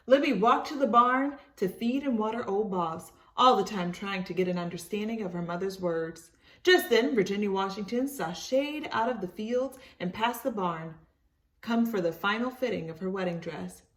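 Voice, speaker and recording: A woman's voice: mid-range voice, moderate pace, recorded from a distance. A woman reading a storybook aloud expressively for children, encouraging and speaking to them as friends. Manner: encouraging, addresses young listeners as friends.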